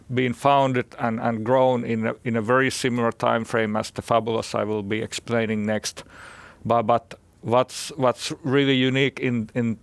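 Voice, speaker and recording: Man, Finnish accent, creaky voice, dry close sound, halting conference presentation, repeated words. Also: mid-range voice, no echo. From Finland. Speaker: startup chief executive, conference speaker.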